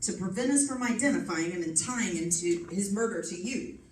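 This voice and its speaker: A woman playing a gruff, sarcastic, stubborn male homicide detective in old-time radio drama, with slangy tough-cop talk. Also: medium pitch, animated, normal speed, darkish tone, natural voice. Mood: dry accusing. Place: live stage performance, mic at a distance. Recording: distant mic in echoey, noisy room.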